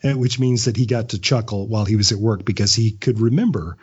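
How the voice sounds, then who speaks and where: Husky, chatty middle-aged man, dull sound. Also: on the low side, darkish tone. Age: 50s. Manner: chatty storyteller, halting with repeated words, chuckles often. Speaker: podcast guest, audio drama maker. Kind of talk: casual interview chat.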